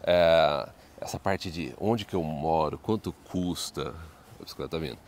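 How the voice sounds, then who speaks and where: A man's voice: a bass voice, moderate pace, a little mellow, a little hiss or hum. A Brazilian man co-hosting a casual advice chat outdoors, rambling and often echoing and agreeing. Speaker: video co-host and study-abroad adviser. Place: outdoors in a wooded residential neighbourhood.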